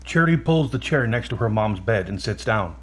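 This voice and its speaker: A man's voice: fairly low pitch, lively intonation, brisk, breathy edge. A man reading scripted narration that sets out the action of an audio drama. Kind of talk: scripted narration of stage directions.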